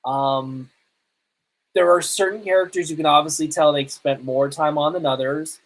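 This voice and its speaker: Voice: mid-range voice, steady tone, moderate pace, rough recording. Podcast host giving a casual film review. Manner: opinionated self-confessed fan. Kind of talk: casual movie review commentary.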